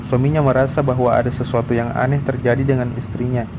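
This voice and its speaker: A man's voice: mid-range voice, flat delivery, quick pace, noisy background. A man narrating a scripted drama story as its storyteller.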